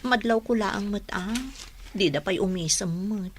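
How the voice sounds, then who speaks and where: A woman's voice: medium pitch, normal speed, a little hiss or hum, lightly acted. A woman playing a sweet, uneasy young bride in a radio drama, with an Ilocano Filipino accent. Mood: hurt. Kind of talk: Philippine radio drama acting.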